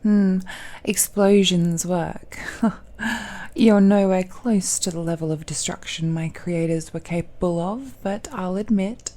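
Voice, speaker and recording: A woman's voice: fairly low pitch, slow, warm tone. A woman voicing an ancient, possessive villainess in an intimate audio roleplay, teasing and condescending with smug, doting airs. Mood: amused, musing. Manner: languid, condescending, teasing, with sighs and hums.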